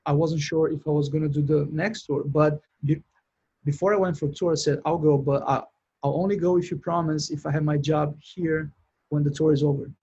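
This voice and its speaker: A man with a Brazilian accent and a slightly higher, breathy voice tells his story in an easy, unpolished way. He restarts often and fills gaps with small words. The sound is muffled and lo-fi. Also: little pitch movement, fairly quick, warm tone. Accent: Brazilian Portuguese. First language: Portuguese. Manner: rambling, many restarts and fillers. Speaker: sound engineer guest. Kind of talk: casual interview chat.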